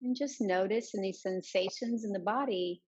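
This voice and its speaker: A woman leading a guided meditation as a yoga teacher, giving soft instructions with many pauses. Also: medium pitch, breathy edge, dull audio.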